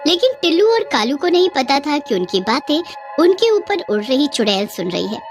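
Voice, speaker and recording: A woman's voice: high-pitched, sing-song, soft background music. A woman storyteller delivering scripted narration for a tale.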